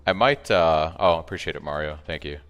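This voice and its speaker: Deep, soothing male streamer. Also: fairly quick, smooth, dull audio. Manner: relaxed, thinks aloud, reads and answers chat. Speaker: game streamer. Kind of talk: casual live-stream commentary. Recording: long reverb, faint music behind.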